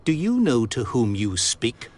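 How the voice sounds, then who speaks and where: A man's voice: medium pitch, huge pitch swings, a little slow, a little mellow. A man voicing a proud, grieving older industrialist in a video game, speaking formally and sternly. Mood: haughty. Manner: formal, haughty and pleading. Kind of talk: game voice acting.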